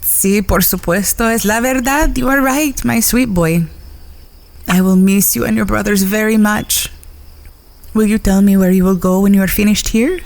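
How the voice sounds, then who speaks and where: A woman's voice: medium pitch, moderate pace, vocal fry, a little mellow, lightly acted. A woman playing a tender, motherly older housekeeper with a Spanish accent in a western audio drama. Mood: wistful, tender. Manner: tender, slips into Spanish endearments.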